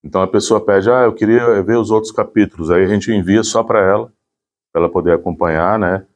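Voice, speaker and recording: A man's voice: fairly low pitch, brisk. A man gives an informal explanation. He speaks as a volunteer presenting community work.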